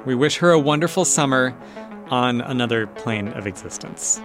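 A man's voice: mid-range voice, expressive, moderate pace, music in the background. A man hosting a radio show, reading scripted links and introductions.

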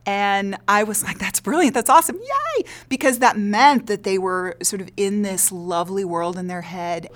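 A woman's voice: medium pitch, animated, moderate pace, hardly any pauses. A woman theater director explaining her work in an earnest, reflective interview. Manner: earnest, explanatory, checks with "right?".

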